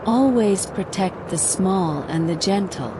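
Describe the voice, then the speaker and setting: Ancient forest spirit, played by a woman storyteller: wise, gentle, slow, rustling; noisy recording. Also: mid-range voice, animated, lightly acted. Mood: solemn. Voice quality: rustling, leafy.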